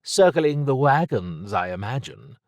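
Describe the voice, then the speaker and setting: A man voicing an elegant, cryptic lady thief, raised pitch. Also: very animated, no room sound, studio quality. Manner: formal, measured phrasing. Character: Alessandra, elegant woman thief, worldly, lazily amused, cryptic.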